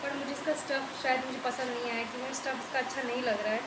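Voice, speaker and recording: Casting: high-pitched woman in her thirties doing a rambling, repetitive home unboxing vlog, recorded in an echoey tiled room. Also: fairly even intonation, fast. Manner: chatty and rambling, repeats herself; gets more emphatic when complaining. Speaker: vlogger. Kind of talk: casual product unboxing review. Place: small tiled room indoors. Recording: echoey tiled room, loud background hiss.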